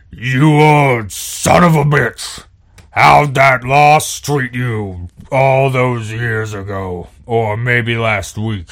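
A man voicing a pompous, hugely wealthy male tycoon who talks slowly and grandly in an improvised roleplay game. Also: mid-range voice, fairly bright tone. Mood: gloating. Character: pompous grossly rich male tycoon on a hover disc.

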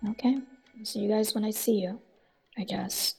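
A woman's voice: medium pitch, steady tone, moderate pace, creaky, darkish tone, close to an everyday voice. A woman acting a defensive, starry-eyed young woman in love, earnest and emotional, in an audio drama. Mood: hurt, defensive. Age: young adult. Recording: music behind the voice.

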